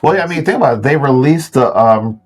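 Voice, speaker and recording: A man's voice: medium pitch, lively intonation, quick pace. A man hosting a casual recap chat show, talking with his co-hosts and addressing viewers. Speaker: podcast host signing off the show.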